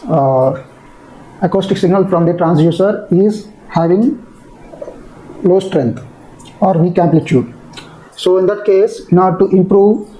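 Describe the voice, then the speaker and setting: A male teacher speaks in a high, mellow voice. He explains step by step in a halting way, often repeating his phrases. A little background noise sits behind him. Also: rough recording. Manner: halting, repetitive delivery. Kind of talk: explanatory technical lecture.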